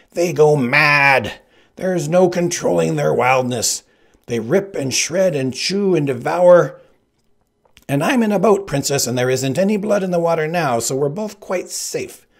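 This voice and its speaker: A man's voice: fairly high pitch, a little slow, vocal fry, pitched above the performer's own voice. A man voicing a conceited male kidnapper who makes boastful, menacing threats in a storybook. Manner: shrieking, gloating threats.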